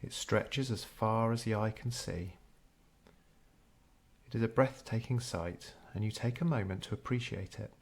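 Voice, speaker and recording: A man narrating a scripted guided meditation in a measured, unhurried storytelling way. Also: lowish voice, vocal fry.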